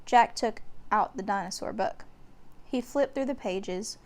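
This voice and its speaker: A woman's voice: mid-range voice, a little slow, a little mellow. A woman narrating a children's book aloud, giving the descriptions dramatic emphasis. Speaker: storyteller.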